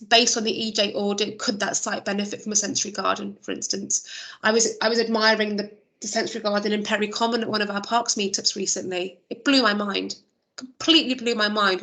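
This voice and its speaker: A woman's voice: medium pitch, bright, forceful tone. A woman chairing an online meeting, thinking aloud with fillers and restarts, her voice a little worn. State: losing her voice. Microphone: video call.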